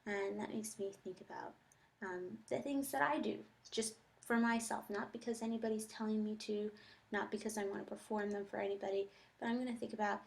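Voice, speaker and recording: Female teacher, mid-pitched, reflective, reading aloud and annotating her thoughts step by step. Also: fairly even intonation, fairly quick, creaky. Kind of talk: think-aloud reading lesson, reading aloud and commenting.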